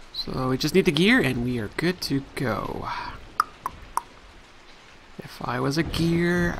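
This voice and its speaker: Male let's play host, mid-pitched, casual running commentary, light background noise. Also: a bit bright. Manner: chatty, thinking aloud.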